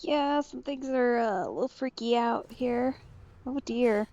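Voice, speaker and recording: A woman's voice: a little high, a little slow, warm tone, dull audio. A woman streamer giving casual, understated and slightly hesitant commentary on a game.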